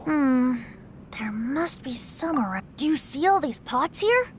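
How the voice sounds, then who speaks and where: A woman's voice: high-pitched, expressive, measured pace, a little hiss or hum. A woman playing a teasing, drink-loving young woman in an anime game, with a theatrical manner.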